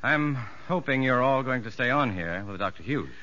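An actor playing a kindly, sincere prison psychologist, measured and well-spoken, in a 1950s radio drama. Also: male voice, medium pitch, lively intonation, bright, forceful tone. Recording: dull vintage radio recording with music.

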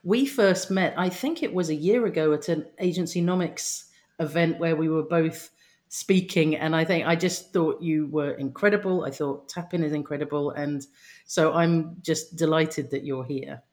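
An interview host who welcomes the guest warmly and asks long, halting, rephrased questions. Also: mid-range voice. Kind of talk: casual interview hosting.

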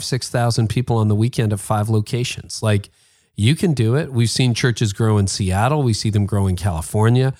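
Man in his fifties, Toronto Canadian accent, emphatic, sarcastic, conversational interview, mid-range voice. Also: medium pitch. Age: 50s. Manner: emphatic, animated, mock-quoting excuses with sarcasm. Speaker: podcast host being interviewed. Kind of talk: casual interview chat.

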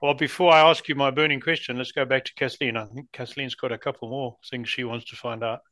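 A man who grew up in Africa co-hosts an interview podcast in an affable way, often pausing to clear his throat. His voice is bright and forward, and the recording is dry and close. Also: medium pitch, flat delivery, no echo. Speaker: podcast co-host. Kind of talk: interview hosting.

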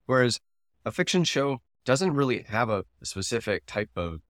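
A man's voice: medium pitch, big pitch swings, moderate pace, fairly bright tone, no echo, studio quality. A man co-hosting a podcast, talking casually and analytically as he explains his opinions. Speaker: podcast co-host.

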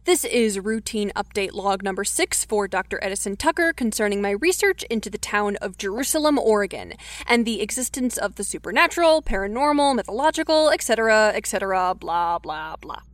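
An actress playing a sardonic, casual researcher dictating an audio drama log in an irreverent manner. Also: female voice, mid-range voice, lively intonation, fairly quick, dry recording, close to an everyday voice. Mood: sardonic, bored. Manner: dry, irreverent. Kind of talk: audio fiction log recording.

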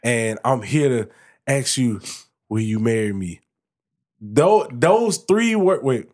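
A man's voice: mid-range voice, expressive, slow, a little mellow. Talkative man in a casual podcast. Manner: talkative, explains at length with many restarts. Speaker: podcast co-host.

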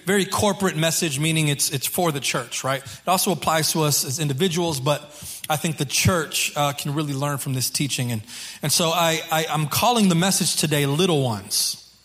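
A man preaching as a pastor to his congregation in an informal, halting way, repeating phrases, with a slightly hoarse voice. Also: fairly high pitch, a bit bright. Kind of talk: sermon to a congregation. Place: church service.

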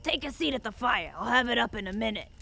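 Creaky-voiced, folksy female bartender. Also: fairly high pitch, lively intonation, fast, sharp tone, exaggerated voice. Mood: consoling, folksy. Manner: folksy, rustic, motherly. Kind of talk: audio drama acting.

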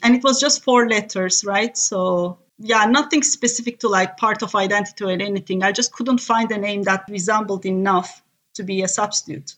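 A woman's voice: mid-range voice, moderate pace, fairly bright tone. A woman from Turkey with a Turkish accent, a native Turkish speaker, chatting casually as a guest on a podcast. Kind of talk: casual conversational interview.

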